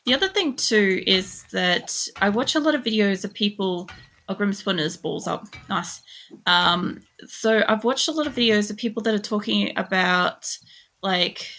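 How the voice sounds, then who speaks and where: A young woman streaming a game and chatting casually to her viewers, mostly flat in tone, rambling with lots of "like" and the odd swear. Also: moderate pace. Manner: mostly monotone, jumps much higher when excited.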